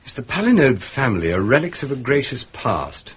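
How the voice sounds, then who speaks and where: An actor with an upper-class English accent playing a mild, wry gentleman detective in a radio drama, understated and polite. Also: mid-range voice, sing-song, a little fast, husky, lo-fi sound. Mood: wry, intrigued.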